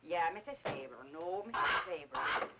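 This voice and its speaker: A woman's voice: fairly low pitch, expressive, moderate pace, natural voice. Noisy old film audio, actress doing jaded female crook sidekick, sardonic and slangy, 40s noir. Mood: sardonic, weary. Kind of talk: 1940s film noir acting.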